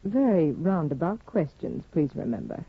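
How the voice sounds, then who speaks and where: An actress playing a proud, discontented young aristocratic wife in a poised, theatrical 1950s radio drama manner. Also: female voice, deep voice, big pitch swings, normal speed, vocal fry, husky, exaggerated voice. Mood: wistful, intimate. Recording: muffled, phone-like old radio broadcast, roomy.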